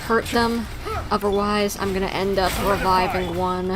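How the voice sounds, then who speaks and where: A woman's voice: mid-range voice, steady tone, moderate pace. A woman giving casual livestream commentary on a video game, half talking to herself as she reacts to play. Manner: muttering reactions to play, self-talk. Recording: noisy stream with game sounds.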